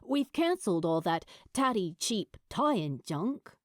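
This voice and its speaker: A woman's voice: medium pitch, sing-song, slow, dry recording, clean studio sound. A woman voicing a practical, blunt young man in audiobook dialogue, matter-of-fact and emphatic. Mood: practical, dismissive.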